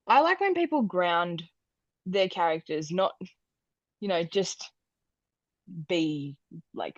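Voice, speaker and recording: Halting woman host, dry dull sound. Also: on the low side, dry recording. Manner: halting, filler-heavy, giggly. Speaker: podcast host. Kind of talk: casual interview chat.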